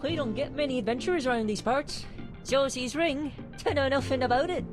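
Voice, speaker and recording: A woman's voice: high-pitched, brisk, music in the background, lightly acted. A woman playing a blunt, gossipy small-town local in a fan-dubbed fantasy game, folksy and plain-spoken. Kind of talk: fan-dub video game acting.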